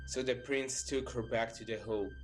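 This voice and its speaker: A man's voice: mid-range voice, fairly even intonation, moderate pace, a little hiss or hum. A man reading scripted, documentary-style narration as a storyteller. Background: background music.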